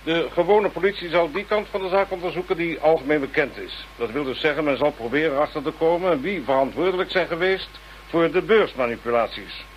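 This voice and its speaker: An actor playing a solemn government minister who speaks in a formal, measured way in a radio drama. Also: male voice, on the high side, dull audio, close to an everyday voice. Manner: measured, official. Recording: faint hum, old radio play.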